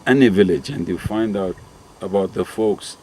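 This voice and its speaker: A man's voice: deep voice, normal speed, warm tone, a little hiss or hum. A man speaking earnestly and hopefully in an interview. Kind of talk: reflective interview answers.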